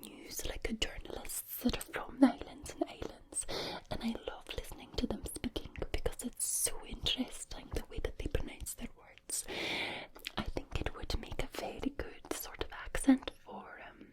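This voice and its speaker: A Scottish woman whispers in an ASMR style, her voice sitting fairly high. She plays with regional British and Irish accents as she talks to the listener. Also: little pitch movement, normal speed. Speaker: ASMR creator.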